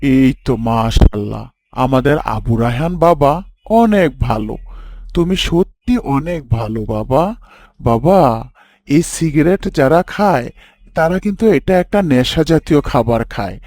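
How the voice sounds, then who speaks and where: A man's voice: mid-range voice, animated, measured pace, a little mellow, close to an everyday voice. A man playing a kindly, pious father in a children's moral cartoon, patient, approving and preachy as he teaches. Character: kindly, pious father gently teaching his young son.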